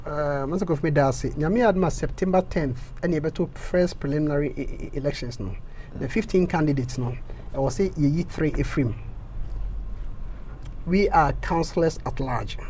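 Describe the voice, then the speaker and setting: A Ghanaian man speaking in an interview, switching between English and Twi, animated and often repeating words as he stammers. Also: fairly high pitch, normal speed, slight background noise, rough recording. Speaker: interviewee, local election candidate.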